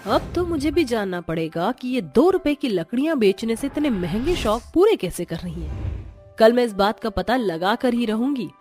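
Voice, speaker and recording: Woman acting a high-voiced scheming, greedy daughter-in-law. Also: very animated, normal speed, a little mellow, soft background music. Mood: suspicious. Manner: suspicious, scheming, emphatic. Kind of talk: dramatized Hindi moral story acting.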